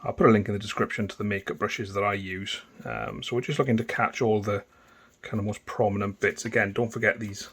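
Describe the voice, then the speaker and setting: A man with a Welsh accent guides viewers through painting a model in a low, easy voice. He talks casually, pausing on fillers and restarting sentences as he works. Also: husky. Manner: relaxed, chatty, with frequent fillers and restarts. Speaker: miniature painting tutor. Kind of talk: casual step-by-step tutorial narration.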